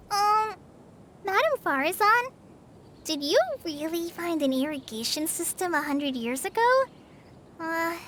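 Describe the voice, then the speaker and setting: A woman voicing a tiny, excitable, naive and eager fairy companion in a video game. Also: extremely high pitch, a little hiss or hum, heavily acted. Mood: worried.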